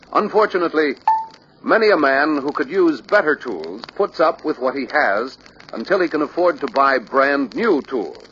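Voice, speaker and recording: A man reading scripted instructional narration for a sales training film, deliberate and emphatic. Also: medium pitch, fairly bright tone, a little hiss or hum. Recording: dull lo-fi old training film soundtrack.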